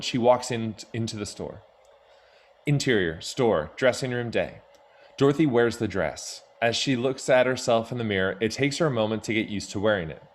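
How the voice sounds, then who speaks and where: A man reading scripted stage directions as the narrator of an audio drama. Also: fairly low pitch.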